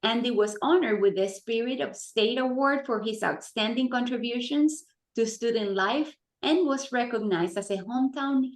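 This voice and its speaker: A woman's voice: a little high, roomy sound. A woman hosting an event, reading a formal prepared introduction of the moderator. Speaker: event chair and host.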